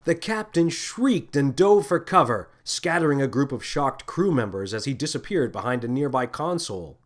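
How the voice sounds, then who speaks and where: Expressive male storyteller with lively pitch. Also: normal speed. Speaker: author and narrator. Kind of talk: scripted children's story narration.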